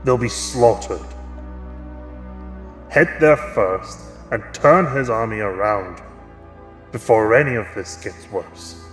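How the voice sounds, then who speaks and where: Slow, solemn king in an echoing hall. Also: on the low side. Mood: grave, urgent. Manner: solemn, deliberate, imperious. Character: grave commanding king speaking to his knight. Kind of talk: audio drama acting. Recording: echoey hall reverb, music behind.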